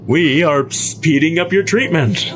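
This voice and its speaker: A man's voice: a little high, unhurried, pitched above the performer's own voice, close to an everyday voice. Cold, commanding asylum doctor acted by a man. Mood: coaxing, commanding. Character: cold, greedy male asylum doctor running experiments. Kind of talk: horror audio drama acting. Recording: loud music under the voice.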